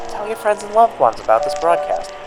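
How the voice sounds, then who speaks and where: Guy reading podcast end credits, very animated delivery, music bed behind him. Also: sits high, fairly quick, rough recording. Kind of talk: scripted credits narration.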